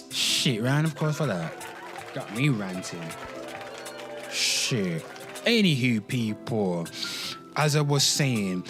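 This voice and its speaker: A man speaking casually and emphatically in an off-the-cuff rant, with slang, swearing and repeated phrases, talking straight to his listeners. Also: medium pitch. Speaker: host of a pop culture commentary show. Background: music.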